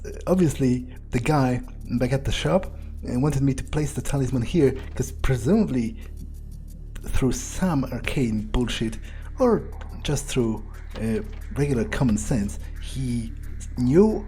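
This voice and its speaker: A man's voice: mid-range voice, dark tone, low-quality recording. A man doing in-character video game commentary, thinking aloud in an amused, sardonic, casually sweary way over game music.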